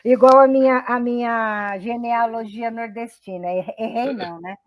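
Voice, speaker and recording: Woman, middle-aged, talk show host, chatty and eager, fairly high voice, dry sound. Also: fairly even intonation, moderate pace, no room sound, muffled recording. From São Paulo state, Brazil. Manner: chatty, enthusiastic, laughs easily, many restarts. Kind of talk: web radio talk show.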